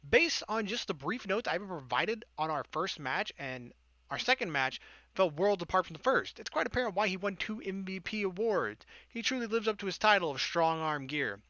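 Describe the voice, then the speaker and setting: A woman reading a visual novel aloud as a gaming host, adding casual, deadpan quips. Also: lowish voice, normal speed, dry recording, highs cut off. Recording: loud game music underneath.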